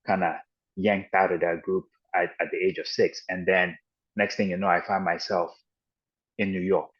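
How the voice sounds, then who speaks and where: Podcast guest, man, low voice, halting reflective storyteller, muffled lo-fi sound. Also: level tone, a bit bright. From Ghana and the United States. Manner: halting and reflective, with frequent restarts and "you know". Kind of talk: personal storytelling in an interview. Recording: dull lo-fi audio.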